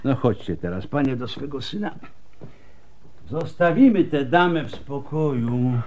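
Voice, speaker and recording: An actor playing a weary, bitter, bluntly honest doctor in a radio drama, speaking deliberately with sighs. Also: male voice, mid-range voice, lively intonation, darkish tone, a little hiss or hum, close to an everyday voice. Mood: brisk, soothing.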